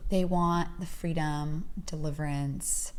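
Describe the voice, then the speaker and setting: A woman's voice: sits low, steady tone, slow. A woman hosting a faith podcast, affirming her guest and reflecting on the story. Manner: affirming, encouraging, with frequent short responses. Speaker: podcast host.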